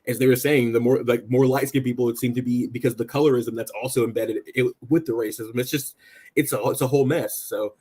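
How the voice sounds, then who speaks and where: A man with an American accent chatting casually about politics as a panelist, in a halting, rambling, agreeable way. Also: medium pitch, steady tone, darkish tone, slightly rough. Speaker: political talk show panelist.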